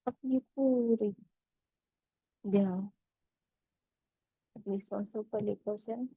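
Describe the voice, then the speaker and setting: Female meditation instructor, relaxed dark timbre, close dry sound, unhurried phrases with pauses, gently instructive. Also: medium pitch, no echo, low-quality recording. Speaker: meditation guide.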